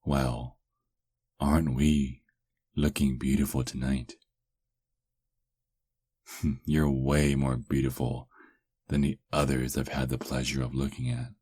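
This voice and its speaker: A man playing a sarcastic, flirtatious captive werewolf in an ASMR roleplay, teasing with lingering pauses. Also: rumbling low, flat delivery, a little mellow, breathy edge, dry recording, heavily acted. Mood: sly, mocking.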